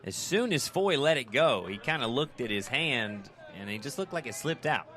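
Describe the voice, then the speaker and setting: An American man doing live radio play-by-play of a baseball game, in clipped descriptive phrases that turn conversational between pitches. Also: big pitch swings, normal speed, pushed and bright. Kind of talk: live sports commentary.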